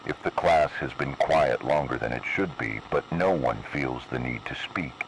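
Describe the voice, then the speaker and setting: An extremely low-voiced, ominous announcer acted by a man reads an analog horror emergency warning. The delivery is grave, deliberate and cryptic, over a lo-fi radio broadcast with faint noise. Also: gravelly. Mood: stern, foreboding.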